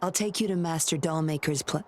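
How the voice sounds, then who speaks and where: An actress playing a cool, teasing woman agent with a secretive, measured manner in a video game. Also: deep voice, close to an everyday voice. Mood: wry, calm.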